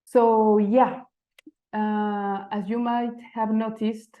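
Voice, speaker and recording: A woman's voice: on the high side, flat delivery, very slow, narrow, tinny audio. A woman in her thirties from Mexico, a Spanish speaker with a Mexican accent, giving a conference talk in English about her design work, searching for words with fillers and restarts. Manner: hesitant, frequent fillers and restarts, non-native phrasing. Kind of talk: conference presentation. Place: lecture venue.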